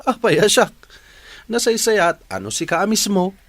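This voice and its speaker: A man's voice: sits high, unhurried, fairly bright tone, dry recording, full character voice. A man with an Ilocano accent playing a frank, reluctant, apologetic villager in a radio drama.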